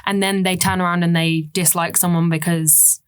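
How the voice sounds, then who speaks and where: A young woman with a noticeably deep, low voice, co-hosting a casual podcast chat. She talks bluntly and rambles, with plenty of "like" and quick laughs. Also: flat delivery, clear, resonant tone. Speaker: podcast co-host.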